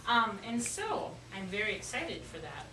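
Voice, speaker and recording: A woman teaching young children in a classroom, speaking in an encouraging, questioning way with hesitant phrasing and pauses. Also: medium pitch, very animated, sharp tone. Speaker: elementary school teacher. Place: indoor classroom. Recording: roomy, noisy classroom, distant mic.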